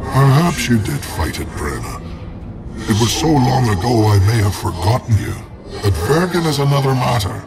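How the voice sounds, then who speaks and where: An actor playing the stern, proud ghost of an army standard bearer in a video game, speaking in a formal, archaic, declamatory way. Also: male voice, mid-range voice, sing-song, normal speed, gravelly. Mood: solemn, wary. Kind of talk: dramatic video game acting. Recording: game music under the voice.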